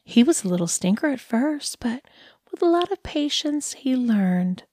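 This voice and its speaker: A woman's voice: high-pitched, very animated, moderate pace, mellow, breathy edge, no room sound, clean studio sound, voice pushed up, exaggerated voice. A woman voicing a sugary, condescending woman who trains human pets, in half-whispered, patronizing baby talk. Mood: cooing.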